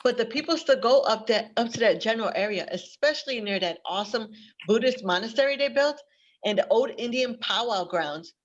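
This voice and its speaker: A woman's voice: on the high side, animated, a little fast. A woman playing a friendly, helpful young librarian in a readers theater drama. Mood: chatty, confiding. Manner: helpful, chatty. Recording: slightly dull conference-call audio.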